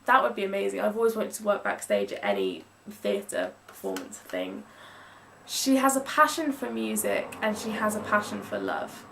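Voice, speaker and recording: A woman's voice: mid-range voice, huge pitch swings, creaky, fairly bright tone. A young woman with an English accent chatting casually in a gushing, emphatic way, as in a book review vlog. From England. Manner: gushing, emphatic, with drawn-out stressed words. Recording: distant mic with some background noise.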